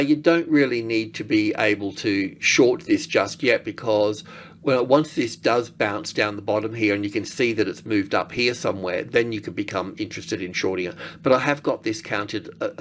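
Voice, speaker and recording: A man giving casual, unscripted screen-share commentary as an analyst, halting and thinking aloud as he goes. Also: fairly low pitch, vocal fry, slight background noise. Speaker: market analyst presenting.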